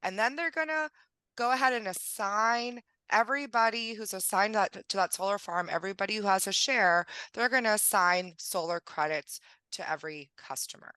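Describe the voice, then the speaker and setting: A woman presenting a webinar on billing, a bit gravelly as she gets over a cold, explaining steps in a friendly way with frequent ums. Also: medium pitch, normal speed, sharp tone, no echo. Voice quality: slightly gravelly. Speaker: billing presenter for a solar company.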